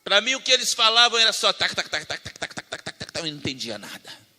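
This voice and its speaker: A man preaching a sermon, telling a personal testimony, moving between loud fervent declaiming and hushed storytelling. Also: sits high, big pitch swings, quick pace. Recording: long hall reverb.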